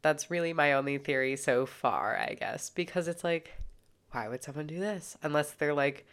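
A woman's voice: deep voice, normal speed, clean tone. A woman co-hosting a casual true-crime podcast, congested from a sinus infection and chatty, with lots of "like" fillers. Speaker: podcast co-host.

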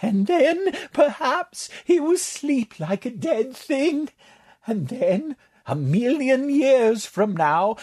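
A man voicing a raspy male monster librarian who breaks into a shrill cackle as he talks, in a sly, gloating way. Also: a little mellow, dry recording, clean studio sound, full character voice.

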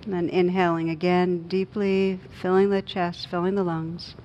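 Woman, meditation teacher, lowish even voice, gentle guided instruction, long pauses, unhurried. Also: lo-fi sound. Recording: noisy recording with low rumble.

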